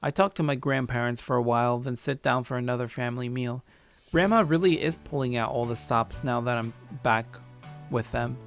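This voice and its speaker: A man narrating a first-person story aloud in an expressive way, now and then stumbling over his words. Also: mid-range voice, a little fast. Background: faint music.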